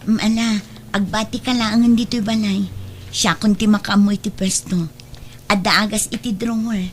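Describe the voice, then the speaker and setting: A woman's voice: medium pitch, steady tone, moderate pace, lightly acted. A woman playing a trusting, devoted adult daughter in a radio drama, speaking earnestly and reassuringly.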